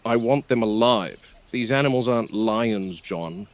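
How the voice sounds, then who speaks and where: A man playing a stern, cautious game warden, speaking in a measured, matter-of-fact way as in a scripted found-footage field log. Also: lowish voice, animated, a little hiss or hum. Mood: stern, urgent. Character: stern, cautious male game warden logging park animal observations.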